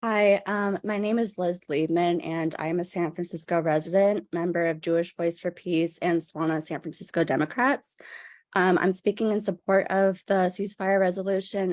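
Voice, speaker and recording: A woman reads a prepared statement as public comment. Her voice sits a little low and sounds dry, without room echo. Her delivery is formal and firm. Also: fairly even intonation, moderate pace, a little mellow.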